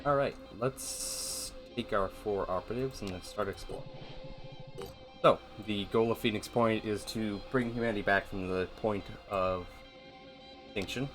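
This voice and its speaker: A man giving casual, rambling gameplay commentary in a flat, monotone voice, with a self-deprecating manner. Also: on the low side, unhurried, faint music behind. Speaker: gaming video host.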